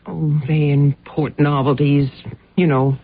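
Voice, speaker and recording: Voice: medium pitch, little pitch movement, slow pace. A performer playing a meek, evasive housewife in a radio drama, giving hesitant, guarded answers. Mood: uneasy, defensive. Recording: muffled lo-fi radio drama recording.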